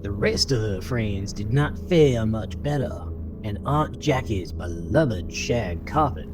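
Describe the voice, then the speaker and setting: Slow, suspenseful male horror narrator over music. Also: fairly low pitch, expressive, low-quality recording. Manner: deliberate, suspenseful storytelling. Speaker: audiobook-style narrator. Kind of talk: dramatic scripted horror narration. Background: music under the voice.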